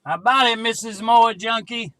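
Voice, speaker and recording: Guy doing cb radio check-ins, high sharp voice, bit of car noise. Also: brisk. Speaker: CB radio hobbyist running a range test. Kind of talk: casual radio calls.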